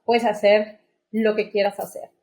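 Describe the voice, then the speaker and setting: A woman's voice: medium pitch, level tone, a little slow, a little mellow. A woman chatting casually as a podcast co-host, recorded with a roomy echo. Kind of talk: casual conversation. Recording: roomy, echoing sound.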